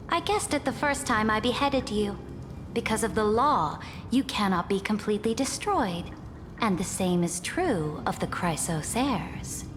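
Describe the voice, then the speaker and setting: Slow, imperious warrior queen. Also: on the high side. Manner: regal, theatrical, deliberate. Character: imperious female ruler, proud, defiant and commanding. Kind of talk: scripted video game acting. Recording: long hall reverb under music.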